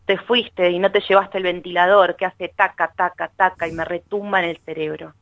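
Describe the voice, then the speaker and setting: A woman's voice: on the low side, level tone, moderate pace, dry recording, lo-fi sound. A woman with a Rioplatense Argentine accent, a guest poet who reads and chats casually. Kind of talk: poetry reading and casual chat.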